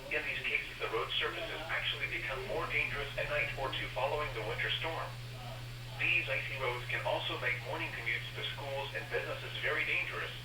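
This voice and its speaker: A man reading scripted public information as a weather radio announcer, in a formal, measured, synthetic-sounding delivery over the radio. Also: medium pitch. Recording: roomy, distant, noisy weather radio broadcast.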